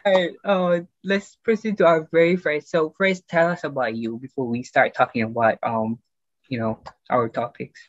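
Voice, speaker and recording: A man's voice: a little high, level tone, moderate pace, no room sound, lo-fi sound. A teenage young man hosting a casual podcast chat in an informal, eager way. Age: teens. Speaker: podcast host.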